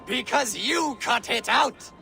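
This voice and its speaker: An actor playing a suspicious, addled old man with childlike madness in a video game, theatrical and excitable. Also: very high voice, slow, creaky, soft background music, exaggerated voice. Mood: distraught.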